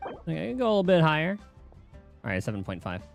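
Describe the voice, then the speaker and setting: A man's voice: fairly high pitch, huge pitch swings, fast, creaky, faint music behind. A man streaming a game with casual, informal commentary, muttering to himself as he plays.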